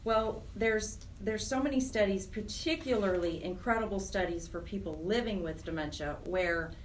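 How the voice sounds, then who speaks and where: A woman's voice: mid-range voice, normal speed. An American woman explaining things earnestly and emphatically as a guest in a radio interview. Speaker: interview guest, program director of a nonprofit chapter. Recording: distant mic with faint noise.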